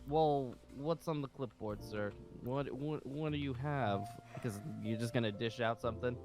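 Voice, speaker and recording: A man's voice: medium pitch, normal speed, music in the background, lightly acted. A man playing an impatient military colonel running a survivor camp, brusque and sarcastic, with stop-start phrasing, in an improvised game roleplay.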